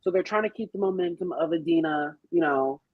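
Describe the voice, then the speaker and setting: A woman's voice: lowish voice, a little fast, husky, no echo, narrow, tinny audio. A woman on a podcast panel telling anecdotes in casual chat, emphatic and dramatic.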